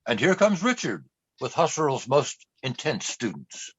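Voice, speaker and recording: A man's voice: mid-range voice, sing-song, unhurried, a bit bright, husky, dry recording, muffled recording, exaggerated voice. A man acting as the narrator of a radio play, telling a life story in a wry way. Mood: wry storytelling. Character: wry male narrator looking back on a woman's life.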